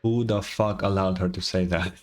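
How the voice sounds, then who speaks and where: Male game streamer, lowish voice, informal let's-play commentary, wry, reactive, faint background music. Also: fairly even intonation, moderate pace, darkish tone.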